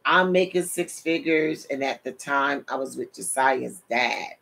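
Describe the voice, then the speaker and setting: A woman's voice: a bass voice, unhurried, creaky. A middle-aged woman from New York with a New York accent and Caribbean touches, giving a sermon-style testimony from a home office over a webcam, speaking animatedly and calling listeners "people". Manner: animated, addresses listeners as "people", gestures with hands. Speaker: preacher and show host. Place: home office, seated in desk chair.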